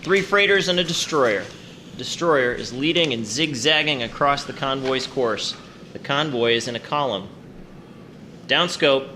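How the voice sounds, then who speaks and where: An actor playing a stern, cautious wartime submarine captain, giving clipped, commanding orders in a war drama. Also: male voice, mid-range voice, measured pace, pushed and bright, a little hiss or hum. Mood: focused. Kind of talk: radio-play style war drama acting.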